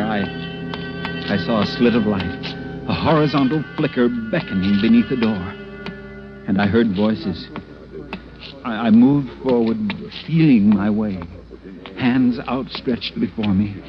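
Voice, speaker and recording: A man acting as a scared, polite tourist in a vintage radio play. He hesitates and stammers in a medium-pitched male voice. The sound is dull and old, with music underneath. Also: lightly acted. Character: frightened, polite male tourist lost at night. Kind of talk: 1940s radio drama acting, first-person narration.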